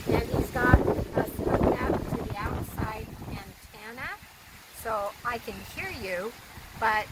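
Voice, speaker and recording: A woman checks in on a family radio, slow and hesitant, laughing often and closing each turn with "over". Her voice sits fairly high. She is caught from across an echoey room with steady background noise. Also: distant mic. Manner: halting, laughs often, ends turns with "over". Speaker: family member testing radios. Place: home, indoors, later on a porch.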